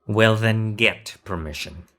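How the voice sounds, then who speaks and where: A man's voice: lowish voice, takes it slowly, fairly bright tone. A man acting a haughty, protective young nobleman in an audio drama, making imperious, impatient demands. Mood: indignant.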